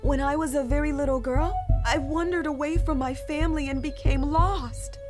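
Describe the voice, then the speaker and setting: A woman playing a kind, softly playful, mysterious fairy-tale lady in a dramatic storybook reading. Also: high voice, steady tone, moderate pace, lightly acted. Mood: gentle, earnest. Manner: soft, gentle, playful. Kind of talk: dramatic storybook character acting. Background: music behind the voice.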